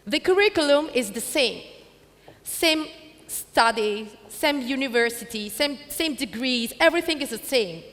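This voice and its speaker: A woman speaking on stage in halting English, in short broken phrases. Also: sits high, lively intonation, fairly bright tone. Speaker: event speaker and presenter. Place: theatre stage. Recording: echoey hall, long reverb.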